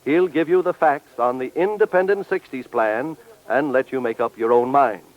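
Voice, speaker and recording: A man's voice: mid-range voice, moderate pace. A man announcing on 1940s radio in a formal, persuasive sales style. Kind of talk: 1940s radio sponsor pitch. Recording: muffled old radio recording.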